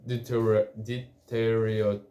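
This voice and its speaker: A Portuguese-native man speaks slowly in a slightly low voice, stopping and restarting his sentences as he searches for words. He talks in a relaxed solo vlog manner. The room around him adds a clear echo. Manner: halting, many restarts and fillers. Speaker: language-learning vlogger. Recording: echoey room.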